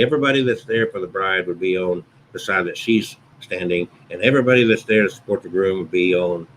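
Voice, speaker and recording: A man with a very low, booming voice explains how a ceremony usually runs. He talks plainly and at an easy pace, circling back to restate his points. A faint room hiss sits under the recording. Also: rough recording. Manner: unhurried, conversational, repeats and restates points. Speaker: wedding officiant. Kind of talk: instructional talk to camera.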